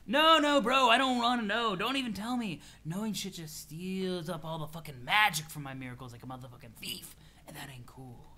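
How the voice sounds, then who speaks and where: A man's voice: high-pitched, bright, forceful tone. Man doing a dreamy, rambling stoner troll. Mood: dreamy, laid-back. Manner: slow-witted, rambling, sweary, dreamy. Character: mellow, spiritual stoner male troll, awed by everything.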